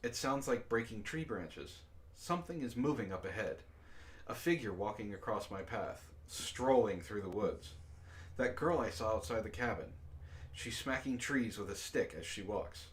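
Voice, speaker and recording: A man reading a story aloud to friends over voice chat, deadpan in the narration and laughing often between lines. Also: on the low side, moderate pace. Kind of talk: casual group reading and chat.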